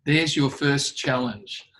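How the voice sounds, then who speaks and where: A man's voice: medium pitch, fairly bright tone. A man with an Australian accent giving an earnest motivational talk to students as a guest speaker. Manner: earnest, deliberate emphasis. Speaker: guest speaker, leadership trainer.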